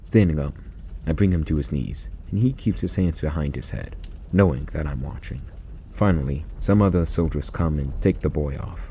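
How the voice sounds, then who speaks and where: A man reading a first-person short story aloud in a deliberate, grave, theatrical way, on a noisy recording. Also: rumbling low, dark tone. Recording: noisy recording with constant background noise.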